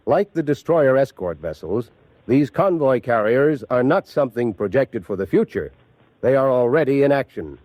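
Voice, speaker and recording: An actor playing a firm, confident Navy Secretary delivering a formal wartime address. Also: male voice, medium pitch, a little mellow, dry recording. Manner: firm, declarative.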